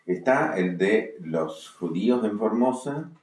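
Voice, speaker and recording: A man's voice: lowish voice, animated, slow pace, a little mellow. An Argentine man working as an interviewer, introducing a guest and the guest's books. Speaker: interviewer, presenter. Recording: very echoey room.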